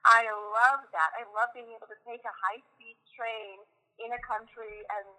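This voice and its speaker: A woman's voice: fairly high pitch, flat delivery, moderate pace, pushed and bright. An American woman talking as an interview guest over a phone line, enthusiastic, often repeating words as she gathers her thoughts. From USA. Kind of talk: casual interview chat.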